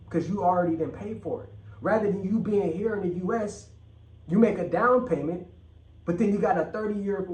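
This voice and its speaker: A man rants casually on his vlog, swearing freely and hammering his points by repeating them. His voice sits somewhat high. The sound is roomy and echoing, caught from a few steps away. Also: darkish tone. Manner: blunt, profane, repeats phrases for emphasis. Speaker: channel host. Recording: echoey room, mic at a distance.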